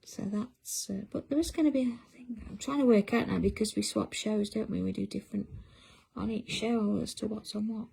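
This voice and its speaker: A woman's voice: mid-range voice, steady tone, normal speed, vocal fry, warm tone, husky, poor audio. A middle-aged woman, hosting a craft livestream in casual chat and answering viewer comments as she works. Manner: chatty, reads out viewer comments while working.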